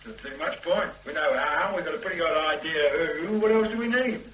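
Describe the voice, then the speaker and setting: An actor playing a blunt, sardonic, old-fashioned police sergeant in a radio drama. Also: male voice, a little high, a little fast, a bit bright, roomy sound, recorded from a distance, a little hiss or hum, close to an everyday voice. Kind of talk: 1970s radio drama acting.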